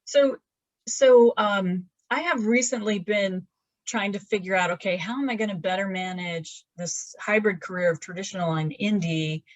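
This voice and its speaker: A woman's voice: mid-range voice, moderate pace, no echo, muffled recording. A woman in her fifties, a romance author, chatting casually in a video-call interview from her laundry room, rambling with many fillers and restarts. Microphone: webcam on a video call.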